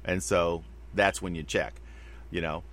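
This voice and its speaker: A middle-aged man with a very low voice talks casually as a podcast co-host. He rambles, fills gaps with asides, and circles back to his point. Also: steady tone, a little slow, a bit bright. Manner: rambling, loose, many fillers and asides. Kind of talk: casual conversation.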